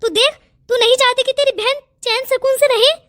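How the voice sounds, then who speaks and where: A woman's voice: very high-pitched, fairly even intonation, a little fast, a bit bright. A woman voicing a stern, protective elder cousin who scolds and commands in a cartoon family melodrama. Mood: indignant, bossy. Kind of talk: melodramatic cartoon story acting.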